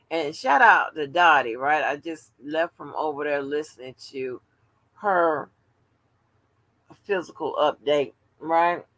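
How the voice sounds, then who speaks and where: A woman's voice: sits low, a bit bright, no echo, poor audio. A woman in her seventies hosting a casual late-night livestream, talking in a rambling, chatty way with salty slang. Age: 70s. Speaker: livestream host.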